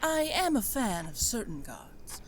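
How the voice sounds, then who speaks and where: A woman's voice: fairly high pitch, big pitch swings, measured pace, a little hiss or hum. A woman playing a macabre, matter-of-fact blood mage in a fantasy audio drama. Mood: coolly macabre.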